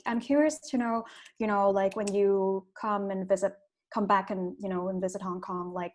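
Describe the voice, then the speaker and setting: A woman's voice: mid-range voice, darkish tone. A woman asking an artist a question tentatively, with many "you know, like". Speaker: audience member. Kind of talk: casual question.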